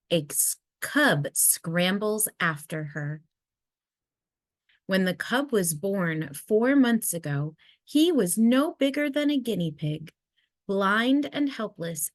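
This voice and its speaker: Slow, expressive woman reading to children. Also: mid-range voice, dry recording. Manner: measured, expressive storytelling delivery. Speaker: read-aloud narrator. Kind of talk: children's nonfiction picture-book read-aloud.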